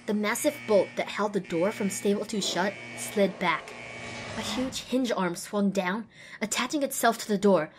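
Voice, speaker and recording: A woman's voice: medium pitch, noisy background, close to an everyday voice. A woman voicing a young, determined and wry female pony who narrates her own adventure in an audio drama. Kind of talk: scripted first-person narration in audio drama.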